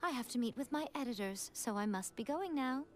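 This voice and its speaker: A woman's voice: a little high, animated, a little fast, a little mellow, a little hiss or hum, lightly acted. An actress playing a sly, refined woman publisher who talks in a teasing, poised way. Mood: brisk.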